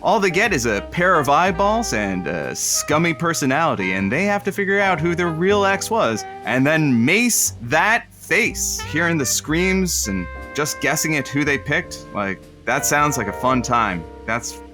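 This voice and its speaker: Earnest blunt radio co-host dad, hot-headed, really animated, bit of music around, comedy podcast drama. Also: male voice, on the high side, measured pace, few pauses. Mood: showman. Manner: emphatic, blunt. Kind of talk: comedic audio drama acting.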